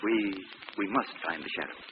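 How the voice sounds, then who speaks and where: A man's voice: on the low side, expressive, brisk, natural voice. A man playing an earnest, anxious scientist in a 1940s radio drama, formal, polite and hesitant. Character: earnest, courteous male professor, anxious scientist. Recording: muffled, noisy old radio recording.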